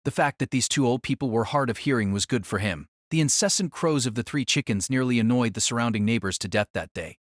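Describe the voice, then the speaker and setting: A man's voice: medium pitch, fast talker, few pauses, no room sound, studio quality. A man narrating a light novel in a dramatic, scripted storytelling style.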